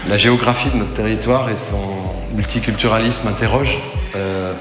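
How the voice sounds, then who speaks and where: A man giving prepared, formal explanations as an official, in a measured way with hesitant pauses. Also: fairly low pitch, fairly even intonation. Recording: echoey reverberant sound with music bed.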